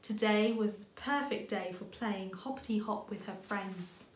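A woman's voice: medium pitch, measured pace, slight background noise. Woman reading a storybook, echoey room. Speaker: children's author reading aloud. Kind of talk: scripted storybook narration. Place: indoors, small room with bookshelves.

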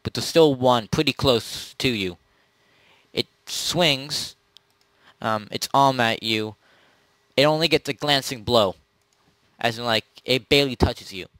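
Guy game mastering a zombie rpg, medium pitch, kinda halting narration, dry sound. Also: a bit bright, no room sound. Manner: halting, pieces scenes together as he goes. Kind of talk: improvised narration of a tabletop zombie role-play.

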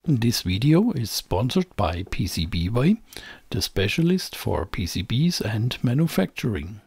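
Gravelly, animated man explaining electronics. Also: medium pitch, measured pace, no room sound, clean studio sound. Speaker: presenter of an electronics channel. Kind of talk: scripted explainer narration.

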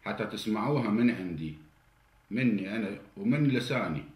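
A man's voice: on the low side, lively intonation, brisk. A man in his forties with an Iraqi Arabic accent, delivering an indignant political monologue straight to the camera. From Iraq. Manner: indignant, grows heated and louder. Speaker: political commentator. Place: indoor study with flag and cabinet. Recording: roomy indoor echo, faint hiss.

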